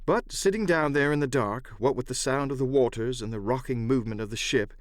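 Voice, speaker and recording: A man narrating an adventure novel as dramatic, scripted storytelling in a measured literary style. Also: mid-range voice, no room sound. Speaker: audiobook narrator and voice actor.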